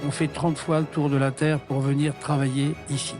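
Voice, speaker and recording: Man with slightly high voice. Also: little pitch movement, moderate pace, music in the background. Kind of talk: interview remarks in a documentary film.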